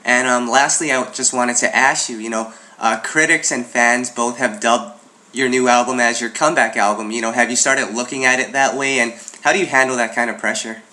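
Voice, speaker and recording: A man's voice: mid-range voice, fairly even intonation, fairly quick, sharp tone. A man interviewing a singer, asking questions in a friendly, affirming way with fillers.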